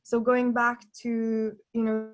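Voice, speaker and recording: A woman from Norway taking part in a panel discussion, talking in an earnest, reflective way and pausing to restart her thoughts as she goes. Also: a little high, monotone. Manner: earnest, thinking aloud with pauses and restarts.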